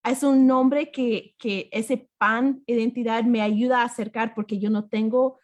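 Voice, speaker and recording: A woman's voice: a little high, steady tone, a little slow, dull audio. Encouraging US-raised woman host. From United States. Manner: warm, encouraging, mixes Spanish with English. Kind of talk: casual conversation.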